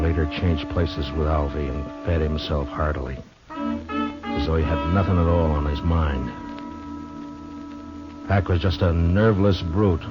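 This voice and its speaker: An actor playing a steady, grim marshal in a 1950s American radio western, measured, grave and dry. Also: male voice, a bass voice, exaggerated voice. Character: steady, grim frontier marshal narrating his own story. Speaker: lead actor and narrator. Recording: dull old radio recording. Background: music.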